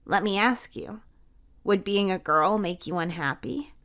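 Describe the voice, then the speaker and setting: A woman voicing a gentle, caring young transgender woman with a soft, slightly awkward manner in an audio story. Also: medium pitch, a little slow, natural voice. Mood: reassuring.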